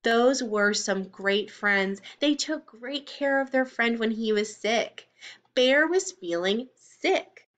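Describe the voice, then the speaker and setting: A woman reads to young children in the manner of a teacher. Her voice sits a little high and moves widely in pitch. She goes slowly, addressing the child directly in simple, expressive phrases. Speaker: teacher reading aloud to children. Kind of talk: children's story read-aloud with simple explanations.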